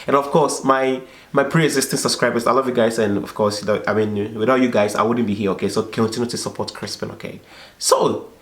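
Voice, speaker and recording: A man's voice: medium pitch, steady tone, fairly quick. A man with a slightly dried-up, tired voice, hosting a vlog in casual, informal and repetitive chat. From foreigner living in Belgium. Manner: repetitive, filler-heavy, informal. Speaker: vlog host. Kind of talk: casual chat to viewers and guest. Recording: echoey room sound.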